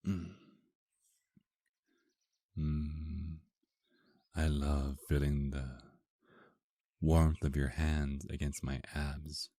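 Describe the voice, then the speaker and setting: A man's voice: rumbling low, little pitch movement, very slow, long pauses, dark tone, no echo, clean studio sound, heavily acted. A man playing an affectionate, teasing vampire husband in an intimate ASMR romance roleplay, murmuring tenderly and flirtatiously. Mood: tender, cozy.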